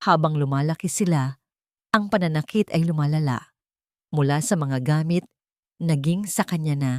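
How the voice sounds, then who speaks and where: Low, mellow Filipino woman storyteller. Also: normal speed, no echo, clean studio sound. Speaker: narrator. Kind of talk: scripted dramatic story narration.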